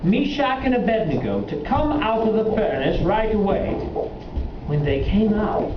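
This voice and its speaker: A man voicing an earnest, puzzled royal assistant in a children's puppet show, telling things deliberately. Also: high voice. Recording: echoey, muffled, noisy room, far from mic.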